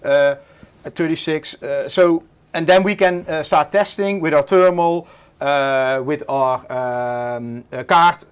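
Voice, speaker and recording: Dutch guy hosting a tech stream, kinda high-ish voice, lots of ums. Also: clear, resonant tone. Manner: halting, many fillers. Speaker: stream host. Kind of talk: casual live stream chat.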